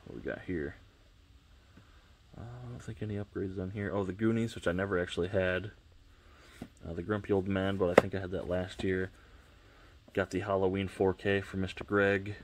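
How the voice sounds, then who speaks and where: Low, flat-voiced congested man. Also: normal speed, long pauses, a little hiss or hum. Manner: rambling, offhand, self-correcting. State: congested, sniffling. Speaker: collector vlogger. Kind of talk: casual walkthrough of his collection. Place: at home by his shelves. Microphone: camera on a tripod.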